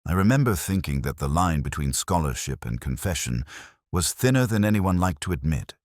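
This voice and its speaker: Very deep-voiced man narrating a mystery. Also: sing-song, brisk, dry recording, clean studio sound. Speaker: narrator of a detective mystery story. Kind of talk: literary first-person scripted narration.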